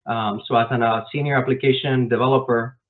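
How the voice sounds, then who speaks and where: A man raised in Miami answering interview questions about his work as a software developer, explaining step by step with frequent "um" fillers, over a video call. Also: mid-range voice, steady tone, fairly quick. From grew up in Miami, Florida. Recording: tinny phone-like online call.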